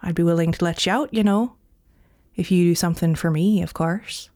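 A woman's voice: mid-range voice, animated, moderate pace, no echo, clean studio sound, voice pushed up, lightly acted. A woman voicing a sly, silver-tongued trickster man who wheedles and goads in a raised, sometimes whispered voice, as a storyteller plays him. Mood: sly, smug. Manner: wheedling, goading.

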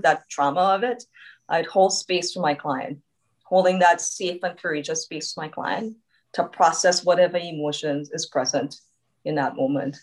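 A woman with a notably low voice and a subtle Trinidadian lilt, speaking as a coach on a podcast. She talks reflectively and keeps checking in with a quick "right?". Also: rough recording. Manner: reflective, frequent tag "right?". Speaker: coactive coach, podcast guest. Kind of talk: conversational interview.

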